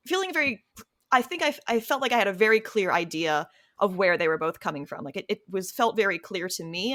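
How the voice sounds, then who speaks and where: A woman, an actress and writer, answering interview questions casually in a reflective, halting way. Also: mid-range voice, fairly bright tone. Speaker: actress and writer on an audio drama.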